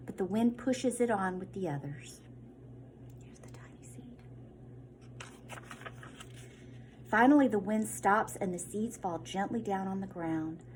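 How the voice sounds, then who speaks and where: A woman with a mid-pitched voice reads a children's story aloud in the manner of a teacher. She leans into dramatic words and drops into hushed asides. Faint noise sits behind her voice. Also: low-quality recording. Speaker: teacher reading a picture book to children.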